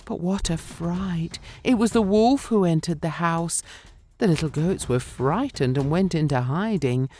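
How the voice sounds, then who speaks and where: A woman's voice: lowish voice, a little slow, mellow. A woman storyteller narrating a scripted fairy tale for children.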